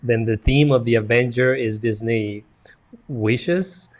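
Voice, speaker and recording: A man's voice: mid-range voice, slow pace. Colombian-accented man chatting on a panel. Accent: Colombian Spanish. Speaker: podcast panelist. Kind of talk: casual panel chat.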